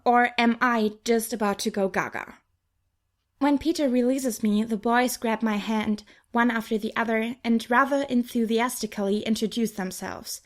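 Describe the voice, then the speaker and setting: A woman narrator with a highish voice reads a young adult fantasy novel. The delivery is scripted first-person storytelling, in the manner of an audiobook.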